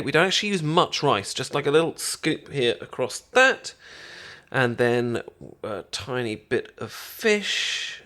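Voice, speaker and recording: A man acting a shy British best friend with a crush in a romantic ASMR roleplay. He speaks slowly and tenderly, stammering and hesitating, affectionate throughout. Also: mid-range voice, fairly bright tone, heavily acted. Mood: playful, encouraging. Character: shy, affectionate boyfriend-to-be best friend teaching sushi making.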